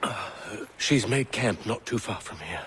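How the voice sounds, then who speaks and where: An actor playing a rough-edged, loyal henchman in a video game, terse and gruff. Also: fairly low pitch, animated, a little slow, a bit bright, slight background noise. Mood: reporting. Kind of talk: scripted video game acting.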